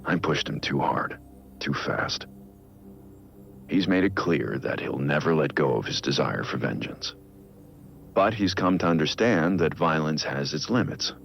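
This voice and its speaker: A brooding middle-aged vigilante mentor narrates a video game audio log in an extremely deep, gravelly voice. He is grave and introspective, weighed down by guilt. The sound is slightly dull and lo-fi. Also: expressive, unhurried, exaggerated voice. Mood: remorseful, grave. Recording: muffled lo-fi audio log, music underneath. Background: music.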